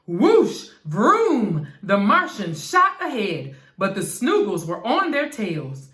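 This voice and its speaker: Lively woman reading a bedtime story. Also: mid-range voice, slow. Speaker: storybook reader. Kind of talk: expressive children's bedtime story narration. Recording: echoey room.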